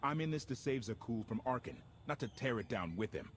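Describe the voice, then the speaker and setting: An actor playing a plain-spoken former warship captain in a game cutscene. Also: male voice, mid-range voice, expressive, fairly quick, slight background noise, close to an everyday voice. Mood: serious. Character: blunt former warship captain, earnest man. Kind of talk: video game cutscene acting. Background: game music.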